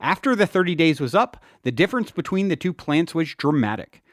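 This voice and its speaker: A man's voice: on the high side, big pitch swings, fairly quick, no room sound, clean studio sound. A man reading his own nonfiction book aloud as an audiobook narrator, explaining ideas expressively with a wry touch.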